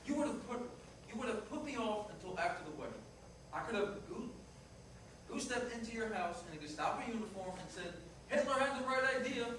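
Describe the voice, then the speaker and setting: Guy acting a blunt restless ex-fiance in a play reading, high voice, lots of background noise. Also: animated, fairly quick, a bit bright. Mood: bitter, insistent. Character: restless, blunt ex-fiancé from an Italian-American family. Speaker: actor in a staged play reading. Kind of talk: staged reader's theater dialogue. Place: live staged reading in a reverberant room. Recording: echoey, noisy room, distant mic.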